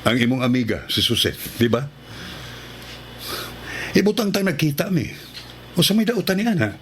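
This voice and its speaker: An embattled husband in a radio melodrama. His voice is on the high side and very animated, defensive and exasperated. There is light noise on the recording. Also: normal speed. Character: embattled husband defending himself to jealous wife. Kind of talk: melodramatic radio drama acting. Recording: slightly noisy radio drama recording.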